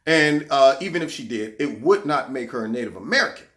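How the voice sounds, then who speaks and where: A man's voice: mid-range voice, expressive, fairly quick, fairly bright tone. A man hosting his own political commentary vlog, talking sarcastically and repeating words when he gets heated. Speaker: vlog host.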